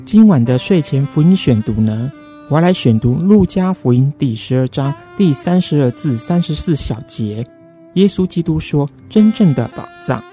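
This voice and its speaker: A man hosts a late-night radio show with a very dark, mellow voice. His manner is polite and soothing as he talks and reads. Faint plucked guitar plays underneath. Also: a little high, expressive, moderate pace. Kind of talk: late-night radio hosting and scripture reading. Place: radio studio, live broadcast. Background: soft plucked guitar music.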